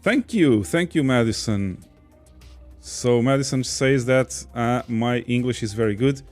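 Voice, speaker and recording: A man's voice: medium pitch, unhurried, darkish tone, faint music behind. A man from Portugal with a Portuguese accent, casually hosting a livestream and reading viewer comments aloud. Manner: chatty, reads viewer comments aloud, laughs easily. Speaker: podcast host reading chat.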